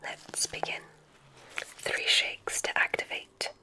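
Woman acting as gentle magic-school witch, breathy whisper, drawn-out deliberate pacing, soothing ASMR roleplay, softly mysterious. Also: rough recording.

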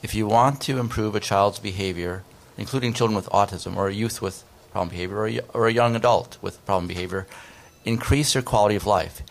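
A man's voice: lowish voice, a little fast, gravelly, hardly any pauses. A man in his fifties, a researcher, explaining earnestly on a discussion panel at a desk microphone in a conference room. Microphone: desk microphone on stand.

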